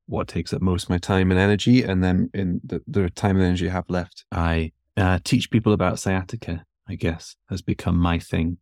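A very low-voiced man with a Newcastle accent talks as a podcast guest. He is relaxed and self-deprecating, with plenty of fillers and restarts. His voice is recorded dry and close. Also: moderate pace, hardly any pauses, no echo. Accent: Newcastle English. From north of England. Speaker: guest, physiotherapist and educator. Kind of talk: relaxed conversational interview. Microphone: podcast microphone.

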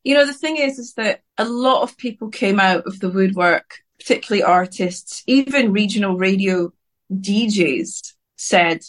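A woman's voice: mid-range voice, moderate pace. A woman in her thirties, a singer-songwriter from Scotland, answering interview questions in an outspoken, rambling way, often breaking off and restarting her sentences.

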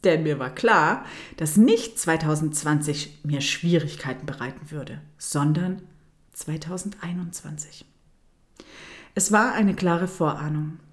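A woman with a German accent hosting her own podcast, telling her personal story in a soft, intimate voice that often drops close to a whisper. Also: sits low, measured pace. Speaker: podcast host, dance teacher. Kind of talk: storytelling monologue.

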